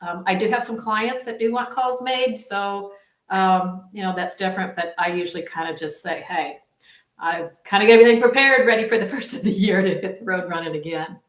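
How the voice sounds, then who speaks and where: A woman's voice: mid-range voice, a little fast. A woman giving a casual, rambling promotional talk as a supportive phone marketing coach, speaking informally. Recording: roomy, echoing sound.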